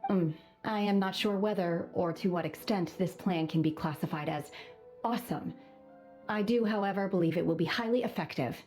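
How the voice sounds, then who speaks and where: A woman voicing an aloof, solemn young woman in a video game, with formal, archaic wording and deadpan detachment. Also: lowish voice, moderate pace, over music, lightly acted. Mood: earnest, deadpan. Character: aloof, solemn young woman raised among mountain immortals. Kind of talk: video game character acting.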